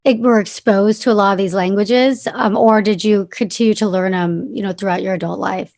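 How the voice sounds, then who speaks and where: A woman's voice: medium pitch, fairly even intonation, a little fast, creaky, darkish tone. A woman hosting a podcast interview, talking casually with many fillers and agreeing often. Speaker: podcast host.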